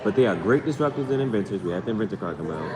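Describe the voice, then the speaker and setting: A man's voice: lowish voice, lively intonation, very fast, crowd noise. A man hosting a casual live tarot reading, partly reading aloud and partly improvising, repeating phrases for emphasis. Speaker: tarot reader hosting a livestream.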